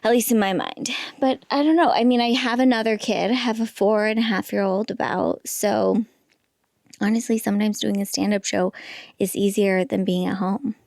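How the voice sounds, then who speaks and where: A woman's voice: mid-range voice, fairly even intonation, normal speed, gravelly, darkish tone, no room sound, clean studio sound. A woman in her thirties, a stand-up comic and pregnant guest, chatting casually with a small, light voice, laughing often and trailing into fillers. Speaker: guest comedian. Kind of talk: casual podcast chat.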